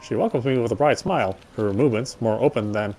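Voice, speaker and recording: A man with a medium-pitched voice reads story text from a game aloud. He is casual about it, drops in his own comments and now and then trips over a line and rereads it. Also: quick pace, dark tone, faint music behind, poor audio. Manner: stumbles and rereads lines. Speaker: gamer reading a visual novel aloud. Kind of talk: reading game narration with casual asides.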